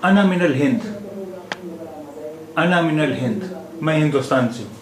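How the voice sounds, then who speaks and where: A man giving a language lesson as a teacher, repeating phrases deliberately in a didactic way. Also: a little high, expressive, crowd noise. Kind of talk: spoken language lesson with slow repetition. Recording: echoey room with clear background noise.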